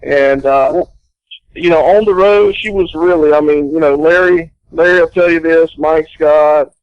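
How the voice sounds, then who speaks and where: A man rambles through stories as a radio guest, full of fillers and restarts. His voice sits a bit high, and the dull, dry recording sounds lo-fi. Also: steady tone, unhurried, clear, resonant tone, no room sound. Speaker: guest metal detectorist. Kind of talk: casual radio chat.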